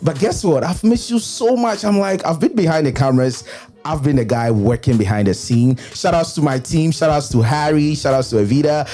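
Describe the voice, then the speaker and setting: A male podcast host in casual interview chat. He is animated and repeats phrases for emphasis. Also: fairly high pitch, a little fast, faint music behind.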